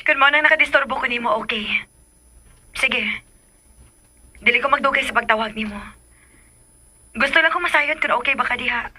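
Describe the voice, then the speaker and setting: A wounded, clingy woman whose love is not returned, in a radio serial. The voice is very high, with a bright, tense edge. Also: moderate pace, pauses often, full character voice. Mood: resigned, wounded. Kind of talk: radio drama acting.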